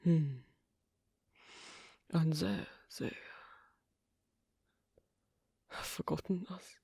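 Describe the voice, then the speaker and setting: A performer playing a shy, bookish, isolated swan king in intimate ASMR roleplay, speaking halting English with a foreign accent in a creaky half-whisper. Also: medium pitch, steady tone, warm tone, no room sound, studio quality, exaggerated voice. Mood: puzzled, pensive. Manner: halting, hesitant, clipped non-native phrasing.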